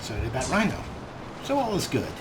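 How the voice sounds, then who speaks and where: A man hosts a let's play with casual, chatty commentary. His delivery is wry and understated, at a middling pitch. There is clear noise and game ambience behind his voice. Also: wildly swooping pitch, a little fast.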